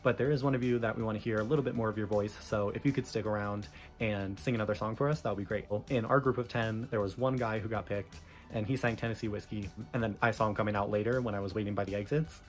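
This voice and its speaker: A man talks quickly in a casual vlog style, recounting his own experience. His voice sits a little on the low side, and his delivery is chatty and conversational, with music playing behind him. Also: steady tone. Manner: chatty, frequent "like" asides. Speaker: vlogger recounting an audition. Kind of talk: casual first-person vlog storytelling.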